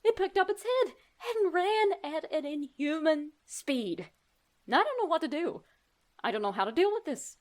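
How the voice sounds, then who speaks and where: A woman narrating a first-person horror story in an expressive, suspenseful way, with deliberate pauses. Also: high-pitched.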